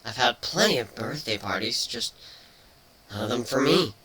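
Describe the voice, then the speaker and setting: A man voicing a playful, teasing female changeling pony in a cartoon fan fiction reading, matter-of-fact even with mock threats. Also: mid-range voice, moderate pace, slightly rough, rough recording. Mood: chatty, teasing.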